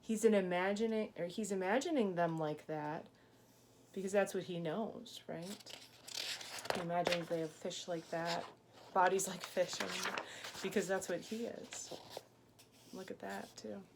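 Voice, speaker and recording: A woman teacher reading a picture book aloud expressively, pausing to ask young listeners questions and explain things. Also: lowish voice, fairly even intonation, background noise.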